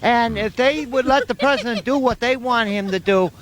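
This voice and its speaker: Male passer-by, high voice, earnest and plain-spoken, faint street noise in an impromptu interview. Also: moderate pace, fairly bright tone. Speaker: homeless passer-by being interviewed. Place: outdoors on a city street. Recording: noisy street remote.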